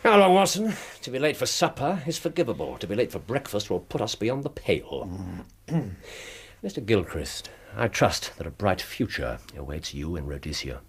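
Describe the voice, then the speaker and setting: A man playing a brilliant, courteous English gentleman detective in a radio drama, precise, theatrical and deliberate, with a husky voice. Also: on the low side, sing-song. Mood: gracious, solemn. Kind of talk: British radio drama acting.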